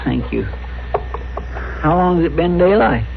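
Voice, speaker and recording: An earnest, simple frontier deputy held captive, high-pitched and swooping. He sounds folksy and plaintive, in radio western style on a tinny recording. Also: animated, takes it slowly, creaky, full character voice. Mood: bewildered, pleading. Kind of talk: 1960s radio western acting. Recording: tinny old radio recording, faint noise.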